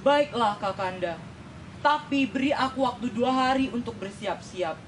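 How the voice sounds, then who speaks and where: A woman's voice: fairly high pitch, expressive, normal speed, recorded from a distance, a little hiss or hum, natural voice, poor audio. A young woman playing a humble, innocent young village man in a folktale, earnest and respectful. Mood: resigned, pleading.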